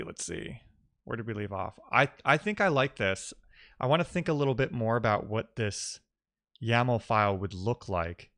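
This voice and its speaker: A man who streams live coding, chatting casually and musing out loud in loose fragments as he works. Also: lowish voice. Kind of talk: casual thinking-aloud coding stream chat.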